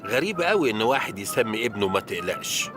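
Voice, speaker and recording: A grumbling, nervous middle-aged cartoon sidekick with an Egyptian accent. His delivery is quick and swings widely in pitch, over loud music. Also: sing-song. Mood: puzzled, grumbling. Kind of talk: comic cartoon dialogue.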